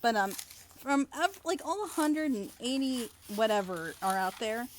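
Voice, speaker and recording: A woman chatting casually on a reaction panel, giving feedback in an animated, rambling, gushing way. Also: on the high side, normal speed. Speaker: reviewer and script editor on a reaction panel.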